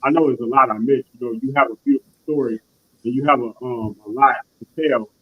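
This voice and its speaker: A man's voice: medium pitch, steady tone, quick pace. A man hosting an interview show, talking in rambling casual phrases and often saying "you know what I mean". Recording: tinny phone-like line.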